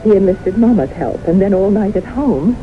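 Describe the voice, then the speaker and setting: An actress playing a reserved, haunted middle-aged secretary recounting her life in measured, grave radio drama style. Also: mid-range voice, animated, close to an everyday voice. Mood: grave, reflective. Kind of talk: 1970s radio drama acting, narration and dialogue. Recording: noisy muffled old radio broadcast recording.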